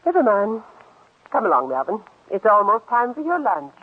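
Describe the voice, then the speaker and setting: A woman playing a cold, scheming, middle-aged older sister in a 1940s radio drama, speaking in a clipped, measured, commanding way. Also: medium pitch, very animated, husky, narrow, tinny audio, soft background music. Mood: brisk, guarded. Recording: band-limited old radio recording.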